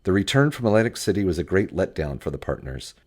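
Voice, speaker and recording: Low-voiced man narrating, widely varied intonation. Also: quick pace, slightly rough. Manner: expressive storytelling, acts out quoted dialogue. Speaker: audiobook narrator. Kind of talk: scripted narration of a first-person tech history memoir.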